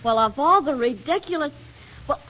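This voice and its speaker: An actress playing a brisk, wry young housewife and devoted mother in a 1940s radio comedy, with a theatrical delivery. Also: high voice, animated. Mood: wryly indignant. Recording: dull, faintly noisy 1940s radio recording.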